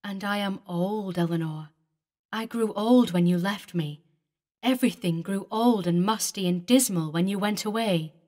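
A woman's voice: medium pitch, moderate pace, a little mellow, close to an everyday voice. Sorrowful aging man, pleading and emotional, read by a woman. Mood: yearning.

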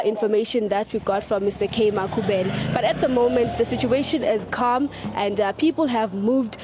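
A young woman with a South African accent reports live from outdoors. Her voice is fairly high and her delivery is halting and hesitant, spoken close into a handheld microphone. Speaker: field news reporter. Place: outdoors in open grassland.

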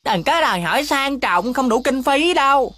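A woman's voice: high voice, animated, moderate pace, heavy background noise. A woman voicing an earnest, bossy and easily flustered little boy in a dubbed cartoon. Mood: eager. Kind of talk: dubbed children's cartoon acting. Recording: faint music behind the voice.

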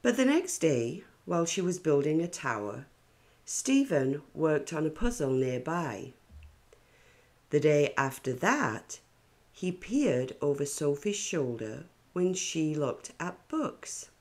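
A middle-aged woman reading a children's picture book aloud with expressive narration. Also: low voice, slow. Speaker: children's story reader.

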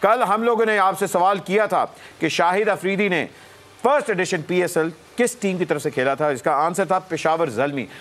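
Upbeat high-voiced male comedy host. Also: moderate pace, fairly bright tone. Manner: teasing, announcing contest details. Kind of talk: lively studio chat. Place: TV studio.

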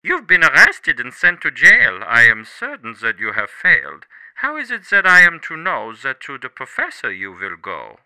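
A man's voice: medium pitch, wildly swooping pitch, normal speed, few pauses, bright, forceful tone, clean tone, no echo, full character voice, low-quality recording. A man playing a suspicious henchman who talks in singsong rhymes, in a radio drama. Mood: wary. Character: suspicious rhyming male henchman on the phone.

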